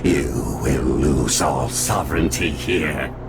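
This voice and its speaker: A defiant, portentous villain from a video game. The voice is extremely deep and drags out every word with menace. Loud music runs underneath. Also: male voice. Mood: menacing. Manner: slow, portentous. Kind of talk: video game cutscene acting.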